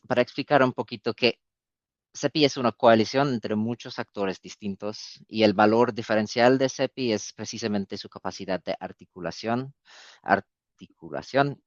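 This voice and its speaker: A man's voice: lowish voice, no room sound. A man presenting in a webinar in a language that is not his first, speaking hesitantly with many fillers and repeated words. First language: not Spanish. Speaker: guest speaker for an epidemic preparedness coalition. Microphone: video call. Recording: dull, dry video-call audio.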